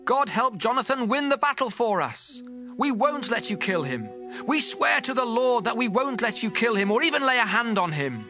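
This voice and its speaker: A man's voice: very high-pitched, sing-song, normal speed, bright, forceful tone, faint music behind, exaggerated voice. A man playing defiant, loyal ancient soldiers in a dramatized audio Bible.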